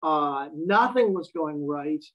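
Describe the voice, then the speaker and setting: A man's voice: fairly high pitch, expressive, takes it slowly, muffled recording. An American man in his fifties, a guest telling his life story in a wry, self-deprecating way. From United States. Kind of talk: casual interview conversation.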